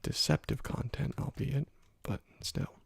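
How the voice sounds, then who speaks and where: A young man hosting an ASMR gaming video, speaking softly into a close microphone and rambling through stories with many fillers. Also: medium pitch, fairly even intonation, a little slow, gravelly, slightly rough. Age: young adult. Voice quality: whispery and soft. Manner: rambling, laid-back storytelling with many fillers. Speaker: gaming ASMR channel host. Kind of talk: soft-spoken ASMR gameplay commentary.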